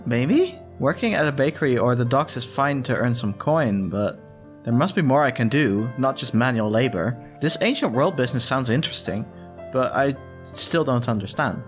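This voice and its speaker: Man playing an unsure young handyman, mid-pitched. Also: lively intonation, moderate pace, faint music behind, close to an everyday voice. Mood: unsure, curious. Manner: hesitant, questioning. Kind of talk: reading visual novel dialogue in character.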